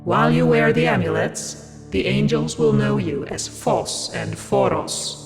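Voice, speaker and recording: A man's voice: lowish voice, wildly swooping pitch, measured pace, gravelly, full character voice. An actor playing a sly male deity who sends mortals on a mission, in theatrical audio drama style. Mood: commanding, teasing. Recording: long cavernous reverb over loud music.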